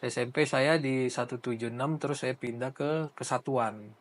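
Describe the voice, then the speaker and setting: Seorang pria berusia empat puluhan dengan logat Medan, pemilik agen perjalanan, menjawab pertanyaan dengan santai, pelan, dan sering ragu-ragu. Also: male voice, medium pitch, fairly even intonation, moderate pace. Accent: Medan Indonesian. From Jakarta, Indonesia. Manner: hesitant, many uh fillers, soft-spoken. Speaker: travel agency owner. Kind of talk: casual interview answers.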